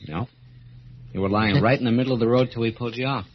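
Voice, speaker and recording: A man's voice: mid-range voice, fast talker, breathy edge, natural voice. An actor playing a friendly, inquisitive young woman's brother with a slangy, offhand manner in an old-time radio drama. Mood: friendly, curious. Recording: muffled, noisy vintage radio recording.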